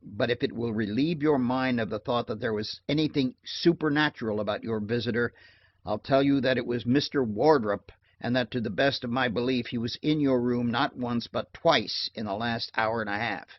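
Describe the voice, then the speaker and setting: A man's voice: mid-range voice, moderate pace, close to an everyday voice. A man reading an audiobook, voicing a shrewd, drowsy man who speaks in a dry, facetious undertone. The recording is dry and slightly dull.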